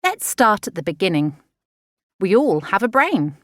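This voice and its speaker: A woman reading a children's nonfiction audiobook in an expressive, measured, explanatory way. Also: mid-range voice, no echo, studio quality. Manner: clear, measured, expressive teaching delivery for children. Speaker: author narrating own audiobook.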